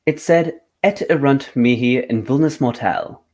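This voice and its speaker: A man playing a confident, methodical gentleman detective in an old-time radio drama, with a formal, theatrical delivery. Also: mid-range voice, moderate pace, close to an everyday voice. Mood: reflective.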